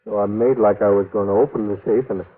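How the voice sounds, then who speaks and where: Distraught frontier clerk, low husky voice, halting rustic speech, remorseful, thin old radio sound. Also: male voice, steady tone. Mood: shaken. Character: shaken, remorseful rustic stage office clerk. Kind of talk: old-time radio western acting. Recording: narrow-band vintage radio recording.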